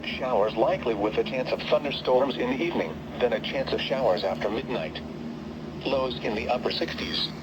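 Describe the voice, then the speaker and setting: A computer-generated male voice reading a weather forecast in a flat, even way, heard through a radio speaker. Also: medium pitch, fairly quick, pauses often, dull audio. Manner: flat, evenly paced machine reading. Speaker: automated weather broadcast. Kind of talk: synthetic forecast readout. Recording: played through a radio speaker with loud crowd-like noise.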